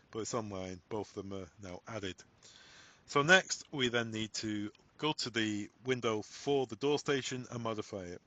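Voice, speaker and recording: A man presenting a software tutorial, giving step-by-step instructions in a voice-over. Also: fairly low pitch, no echo. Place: voice-over on a screen recording.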